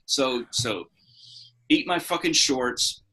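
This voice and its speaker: A man's voice: mid-range voice, expressive, slow pace, sharp tone, poor audio. A middle-aged man co-hosting a podcast, ranting heatedly and profanely in casual chat. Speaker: podcast co-host.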